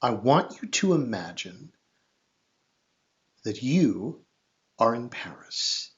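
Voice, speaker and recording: A man teaching young children, guiding them through an acted-out story in an expressive, theatrical, instructive way, recorded in his living room. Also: mid-range voice, slow pace, muffled recording, slight background noise. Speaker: drama teacher.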